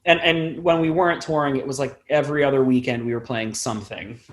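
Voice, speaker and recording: A man's voice: mid-range voice, fairly even intonation, fast. A man in his twenties chatting casually as a musician being interviewed, rambling and self-deprecating. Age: 20s. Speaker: podcast guest, band musician.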